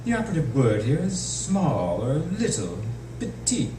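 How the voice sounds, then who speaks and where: A man's voice: mid-range voice, huge pitch swings, unhurried, voice pushed up. A man voicing a tall, theatrical, menacing crow in a storybook reading, pointed and taunting, with a squawk. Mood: menacing, mocking. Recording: echoey noisy room, distant mic.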